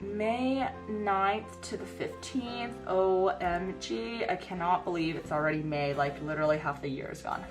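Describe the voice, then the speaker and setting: A woman in her thirties with a somewhat low voice, sniffly from a cold. She rambles in chatty, filler-heavy talk while planning at a desk, her voice fading now and then as she turns from the camera mic. Faint music plays underneath. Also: measured pace, hardly any pauses. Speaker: planner vlogger. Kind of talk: casual chat while planning. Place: home desk by a bright window.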